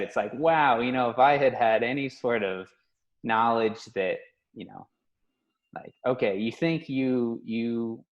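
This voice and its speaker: A man thoughtfully telling about his experiences abroad over a video call, measured and reflective. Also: mid-range voice, poor audio. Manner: thoughtful, measured, some restarts. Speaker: workshop participant. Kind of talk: reflective personal story.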